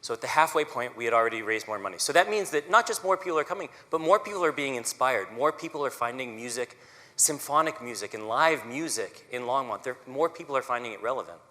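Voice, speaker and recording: A man's voice: mid-range voice, expressive, fast, sharp tone. A man who conducts a symphony, giving an earnest, conversational public talk on stage, often checking in with his audience. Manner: earnest, conversational, frequent "right?" asides. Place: auditorium stage. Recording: long hall reverb.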